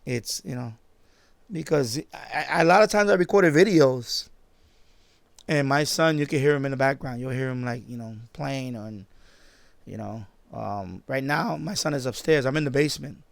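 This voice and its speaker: New york guy around fifty, creaky gravelly voice, dry close sound, rambling live stream chat. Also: mid-range voice, moderate pace, dry recording. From born in Puerto Rico, grew up in the Bronx, New York. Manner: rambling, informal, often repeats phrases. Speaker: live stream host, shortwave radio hobbyist. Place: basement at home.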